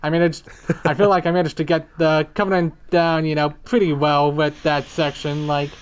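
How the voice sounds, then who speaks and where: A young adult man, the creator of an audio drama, talking casually and relaxed, rambling and saying "you know" a lot. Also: a little high, normal speed, slight background noise. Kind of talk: casual commentary chat.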